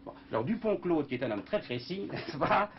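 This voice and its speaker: A man, a film composer, in a casual interview, talking in a lively, rambling way and imitating sounds with his mouth, on a dull old broadcast recording with faint hiss. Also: on the high side, fairly quick.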